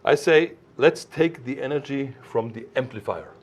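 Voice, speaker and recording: A man with a mid-pitched voice talks through technical details like a designer presenting his own work. His delivery is informal but didactic, with halting phrases and firm emphasis on key points. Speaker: loudspeaker designer explaining his products.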